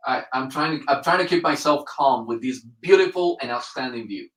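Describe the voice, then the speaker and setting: A man, a Spanish speaker, talks as a guest with animated enthusiasm. He is miked from a distance in a roomy space. His voice sits at a mid pitch. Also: brisk. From Colombia. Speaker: guest entrepreneur. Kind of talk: casual interview.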